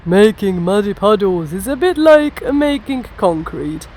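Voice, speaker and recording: A woman's voice: medium pitch, very animated, a little slow, a little mellow, slight background noise. A woman voicing a cheerful father pig who teaches children in a storybook, instructive and encouraging. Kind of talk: children's storybook read-aloud.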